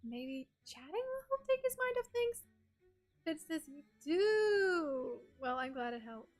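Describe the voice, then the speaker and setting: An Australian woman with a soft, breathy voice, chatting casually with her stream viewers and reading their messages aloud. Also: high-pitched, very animated, slow pace, soft background music. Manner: chatty, reads chat messages aloud and answers them. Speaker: game streamer.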